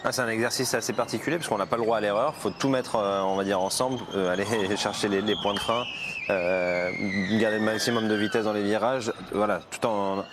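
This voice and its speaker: A low-voiced man breaks down the racing as a live pundit. His speech is relaxed but halting, full of repeated words. Noise from the live broadcast is clearly audible behind him. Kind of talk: live race analysis. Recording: noisy live broadcast feed.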